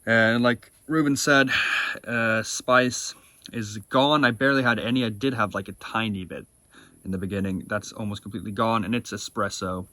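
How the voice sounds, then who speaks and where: A man's voice: lowish voice, steady tone, normal speed, bright, forceful tone, no room sound. A young man co-hosting a cigar review show, chatting casually and talking through flavor notes with enthusiasm.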